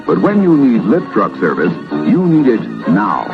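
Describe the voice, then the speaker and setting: A man's voice: lowish voice, huge pitch swings, unhurried. An American man reading a scripted commercial in a 1970s radio style. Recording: dull vintage radio sound. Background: music.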